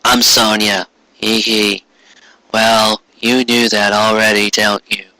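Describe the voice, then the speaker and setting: A deadpan new schoolgirl voiced by a man in a story reading. The voice sits low and flat, unhurried and unimpressed, in a dry close recording. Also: no room sound, heavily acted, low-quality recording.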